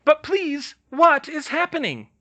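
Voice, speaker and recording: Storybook: squeaky, fussy little old professor, voiced by a man, pitched high. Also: very animated, a little slow, sharp tone, highs cut off, heavily acted. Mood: anxious. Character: small squeaky elderly male professor.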